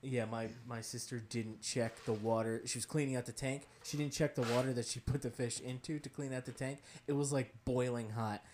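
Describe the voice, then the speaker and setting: A man's voice: mid-range voice, brisk, few pauses, background noise. Laid-back young man telling stories. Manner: relaxed storytelling, filler words, dry humor. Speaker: podcast co-host. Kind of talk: casual chat.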